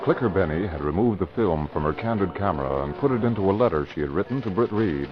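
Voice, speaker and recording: Male radio-play narrator, low voice, measured, muffled old recording. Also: expressive, loud music behind the voice. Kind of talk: scripted narration. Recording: muffled vintage radio broadcast.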